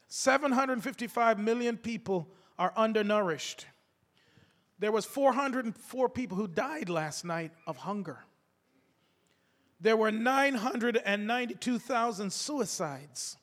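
A man preaching a sermon to his congregation, his voice gravelly from a cold, fervent and calling out to the listeners. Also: high voice, fairly bright tone. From United States. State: has a cold. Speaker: pastor. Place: church sanctuary. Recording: echoey hall reverb.

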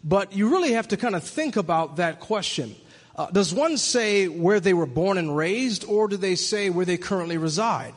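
A man's voice: high-pitched, animated, normal speed. A man from Michigan preaching in an earnest, reflective way that builds to emphatic points. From Michigan, USA. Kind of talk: sermon-style monologue. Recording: long hall reverb.

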